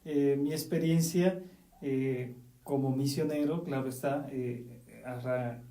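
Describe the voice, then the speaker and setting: Hesitant missionary from the Dominican Republic. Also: male voice, mid-range voice, fairly even intonation, slow pace, mellow, roomy sound, recorded from a distance. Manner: hesitant, many fillers. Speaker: missionary guest. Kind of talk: interview answers.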